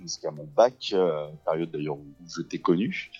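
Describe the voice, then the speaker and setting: A man's voice: extremely low pitch, moderate pace, mellow, breathy edge. A middle-aged man from France, a guest on a radio interview, talking casually with many fillers and restarts. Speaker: guest, actor and theatre teacher. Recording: dull lo-fi radio recording.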